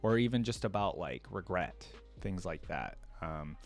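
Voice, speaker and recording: A man's voice: sits low, lively intonation, unhurried, soft background music. A man hosting a reaction video, commenting casually and thinking aloud, often checking with a tagged-on question.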